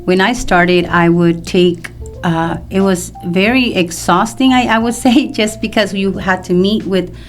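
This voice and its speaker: A woman's voice: mid-range voice, measured pace. A woman in her fifties from El Salvador, talking conversationally and explaining at length as an interview guest. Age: 50s. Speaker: mortgage loan professional, podcast guest. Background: music in the background.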